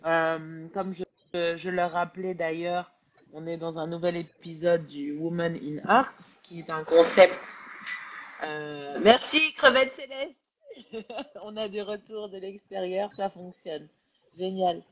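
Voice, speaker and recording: Congested woman hosting a relaxed live interview. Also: lowish voice, slow. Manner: relaxed, chatty, hesitant with many fillers. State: congested from a cold, nasal. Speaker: interview host. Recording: lo-fi live-stream audio.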